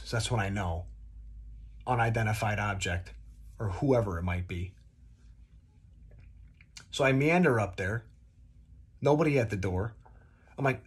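A man in his twenties telling a casual, comedic story to camera in an animated, exaggerating way, recorded on a phone in a room at home. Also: fairly low pitch, breathy edge. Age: 20s. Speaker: vlogger. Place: small dim room at home, indoors.

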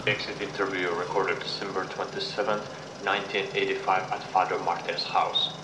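A man's voice: low voice, flat delivery, moderate pace, close to an everyday voice. A man voicing a formal official who records an interview log in a fan-made horror game mod. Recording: echoey, noisy amateur recording.

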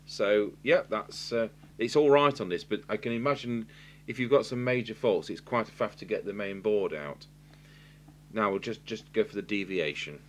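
English bloke with a low voice doing a repair demo at his bench, thinking out loud, humming noisy recording. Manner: matter-of-fact, thinking aloud. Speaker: radio repair technician, presenter. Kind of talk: informal hands-on repair demonstration commentary. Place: indoors at a workbench.

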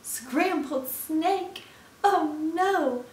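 A woman's voice: a little high, big pitch swings, slow pace, roomy sound, recorded from a distance, slight background noise, exaggerated voice. A woman voicing a sly, easily scared snake in a storybook, with a coaxing manner. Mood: alarmed.

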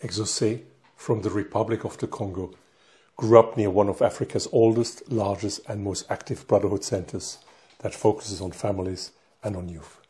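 A man reads a scripted introduction in a low, mellow voice. His delivery is measured and even, as if from a prepared text. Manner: measured, read-aloud delivery. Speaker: narrator. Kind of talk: scripted narration.

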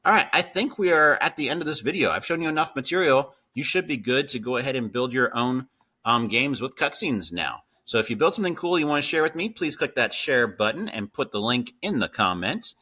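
A man's voice: medium pitch, expressive, fairly quick, sharp tone, husky. A middle-aged man hosting a casual screen-share coding tutorial. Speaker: tutorial host.

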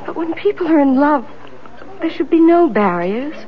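An actress playing a young, possessive mistress in a 1970s radio drama, pressing and demanding. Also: female voice, high voice, expressive, moderate pace. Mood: insistent. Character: insistent, possessive young mistress, boss's daughter. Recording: dull 1970s radio recording.

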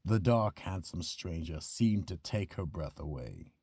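A man hosting and narrating a radio mystery with solemn, ominous, husky storytelling. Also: low-pitched, expressive, unhurried, darkish tone, no echo. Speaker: narrator and host.

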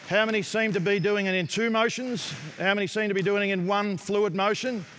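A middle-aged man with an Australian accent, coaching players in a gym with brisk, direct instructions. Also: high voice, fairly even intonation, a bit bright, a little hiss or hum. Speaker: basketball coach. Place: large indoor basketball gym. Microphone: headset mic.

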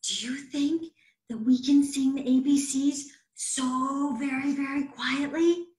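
A woman's voice: high-pitched, steady tone, takes it slowly, a little mellow, echoey room, poor audio. An American woman in her thirties hosting children's storytime, speaking to young children in an encouraging, exaggerated way from a small room at home. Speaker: library storytime host. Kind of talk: children's storytime, talking and sing-along. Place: indoors, small home room with closet doors.

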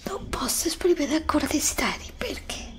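A woman's voice: sits high, lively intonation, normal speed, a bit bright. A middle-aged woman whispering an ASMR roleplay, pleading and anxious, recorded indoors on a desk studio mic. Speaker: ASMR creator. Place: indoor room before a green screen.

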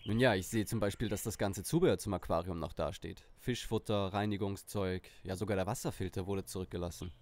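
A man's voice: deep voice, fairly even intonation, brisk, few pauses, darkish tone, close to an everyday voice. A man playing a cocky, mocking teenage detective in a parody audio drama, with a dry, smug delivery.

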